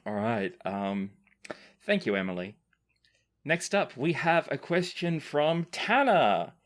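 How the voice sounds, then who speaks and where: Lively low-key Australian woman hosting. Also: slow pace. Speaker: podcast host reading listener questions. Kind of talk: casual Q&A chat.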